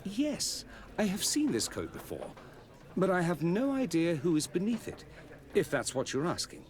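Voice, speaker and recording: Animated, high-voiced shopkeeper. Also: male voice, normal speed. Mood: helpful. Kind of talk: video game voice acting.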